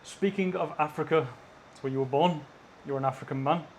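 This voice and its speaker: A man's voice: fairly high pitch, fairly quick, slight background noise. A man interviewing a guest, steadily posing and framing questions.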